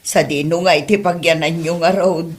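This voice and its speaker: A woman's voice: low voice, steady tone, normal speed, close to an everyday voice. A woman acting as a melodramatic, clingy mother in a comedy drama, wailing and gasping theatrically to guilt-trip her grown son. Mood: fussing, doting.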